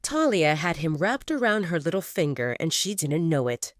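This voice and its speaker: A woman narrating a scripted audio drama in an expressive, intimate storytelling manner. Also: sits low, moderate pace, no echo, studio quality.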